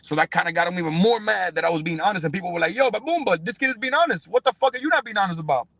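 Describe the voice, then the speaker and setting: A young man speaks in a high voice with a dry, close sound. He is a fighter from Cuba giving an interview in casual, profane slang. His delivery is emphatic and sprinkled with familiar check-ins. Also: animated, racing, a bit bright, dry recording. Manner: emphatic, slangy, punctuated with "bro" and "you know what I'm saying". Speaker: professional fighter, podcast guest.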